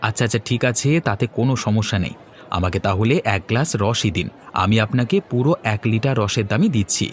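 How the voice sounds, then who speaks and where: A man's voice: fairly low pitch, a little fast, slight background noise, close to an everyday voice. A man voicing a polite, gullible visitor from the city in a cartoon fairy tale, naive and inquisitive.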